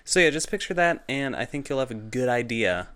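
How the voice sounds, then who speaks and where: A man's voice: medium pitch, big pitch swings, a little fast, a bit bright, clean tone. A man from the United States co-hosting a podcast about comics, easygoing and agreeable, swearing casually. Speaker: podcast co-host. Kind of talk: casual chat.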